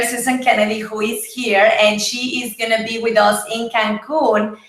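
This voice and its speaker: Chatty woman from Mexico, husky voice, fairly high, echoey room; live-stream host. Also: a bit bright. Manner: chatty, greets viewers by name. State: husky, voice partly lost. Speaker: livestream host. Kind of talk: casual live broadcast chat. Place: home, Gold Coast.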